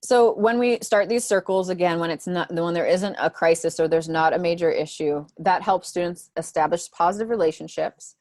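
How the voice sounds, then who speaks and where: A woman guides a group of teachers through a presentation over a video call, in a lowish voice. She talks conversationally and keeps checking in with "right?" asides. The sound is dry and close. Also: moderate pace, hardly any pauses, a little mellow, no room sound. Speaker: school mentor presenting to teachers.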